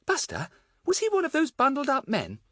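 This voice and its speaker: Man voicing a sweet, high-pitched little girl. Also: huge pitch swings, normal speed, fairly bright tone, no echo, clean studio sound, exaggerated voice. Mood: tender.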